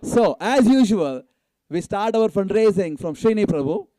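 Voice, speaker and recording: A man's voice: sits high, lively intonation, normal speed, mellow, poor audio. A man making live fundraising announcements to a community gathering, exhorting and prompting his listeners to respond. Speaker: event announcer and fundraiser at a temple. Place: spoken live to a gathering at a temple event.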